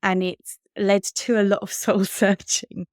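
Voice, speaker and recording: A woman's voice: mid-range voice, flat delivery, unhurried, darkish tone, no room sound, studio quality. A woman in her thirties with an English accent, co-hosting a podcast and chatting candidly, laughing often. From Switzerland. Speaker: podcast co-host. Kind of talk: casual chat.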